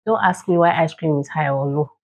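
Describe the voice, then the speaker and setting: A woman's voice: sits low, brisk, darkish tone. A woman hosting a children's read-aloud, playful and chatty, speaking straight to her young listeners. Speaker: children's storytime host and reader.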